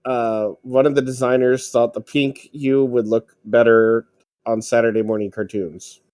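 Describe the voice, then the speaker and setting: A man chatting casually as a podcast co-host, explaining things in a halting way. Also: medium pitch, level tone, a little mellow, no room sound, clean studio sound. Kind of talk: casual film commentary chat.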